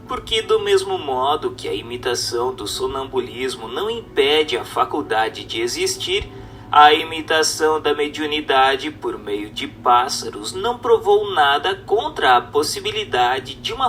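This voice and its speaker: A man narrates a formal text in a slightly high voice. His delivery is measured and didactic, like a careful book reading. He sounds a little distant from the microphone, with music playing underneath. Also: a bit bright.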